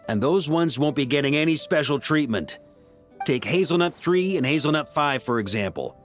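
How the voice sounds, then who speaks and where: A man's voice: mid-range voice, close to an everyday voice. A man voicing a jovial, hard-drinking veteran knight commander in a video game, easygoing and boastful in conversation. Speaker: video game character voice actor. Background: game music.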